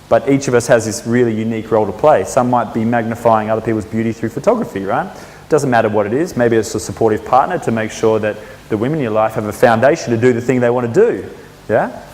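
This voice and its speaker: A man hosting a networking event, chatting with the audience and asking the room questions. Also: medium pitch. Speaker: event host. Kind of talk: casual facilitating at a networking event. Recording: long reverb in a hall, faint room noise.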